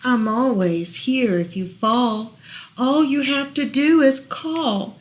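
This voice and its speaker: A woman reading picture books aloud to small children as a storytime librarian, gently explaining as she goes. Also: on the high side. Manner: gentle, unhurried, explaining pictures to little listeners.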